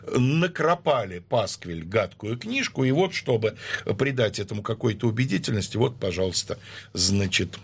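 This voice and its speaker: Husky man explaining history at length. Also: on the low side, expressive. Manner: measured, lecturing with frequent hesitations. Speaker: guest historian. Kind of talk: explanatory interview talk.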